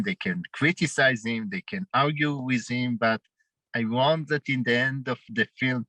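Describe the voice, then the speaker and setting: A man with a heavy Israeli accent, a documentary director answering questions in a festival Q&A and pausing often to find his words. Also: medium pitch, sharp tone, dry recording, rough recording. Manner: halting, searching for words. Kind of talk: festival Q&A interview.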